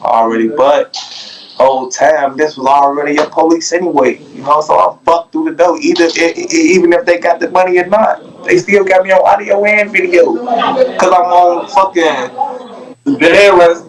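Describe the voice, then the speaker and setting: A man's voice: on the high side, steady tone, normal speed, rough recording. A man who is a podcast guest, telling a long casual story in a rambling, profane way and asking "you feel me" again and again.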